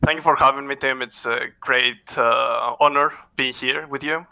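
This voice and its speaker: Gravelly, bright-voiced man, halting speech. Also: medium pitch, fairly even intonation, dull audio. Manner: halting, frequent "uh" fillers and restarts, non-native phrasing. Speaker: head coach, interview guest. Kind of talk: casual interview answers.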